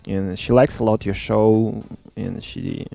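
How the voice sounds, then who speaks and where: A man's voice: on the low side, fairly even intonation, slow pace, creaky, dark tone. A middle-aged man, a musician born in Russia and raised in Ukraine, chatting in an interview with many fillers, restarts and phrasing. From born in Russia, grew up in Ukraine. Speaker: musician guest. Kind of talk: casual interview chat. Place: small studio.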